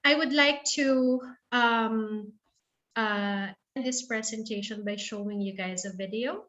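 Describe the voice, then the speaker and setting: A woman's voice: fairly high pitch, a little slow, fairly bright tone. Earnest Filipino woman, lo-fi call audio. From Philippines. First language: not English. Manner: earnest, repeats and restarts words. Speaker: guest speaker. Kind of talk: career talk to students.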